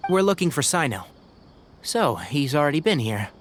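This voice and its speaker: A man's voice: fairly high pitch, big pitch swings, moderate pace, slight background noise. A man voicing a polite, level-headed young male ranger in a video game, courteous and earnest. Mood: businesslike.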